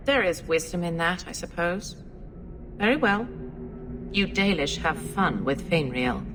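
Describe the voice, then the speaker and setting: Villainous female officer, lowish voice, scornful authority, game cutscene with noisy sound. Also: expressive, measured pace. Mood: grudging. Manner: scornful, commanding. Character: menacing, contemptuous female templar officer hunting mages. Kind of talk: video game voice acting.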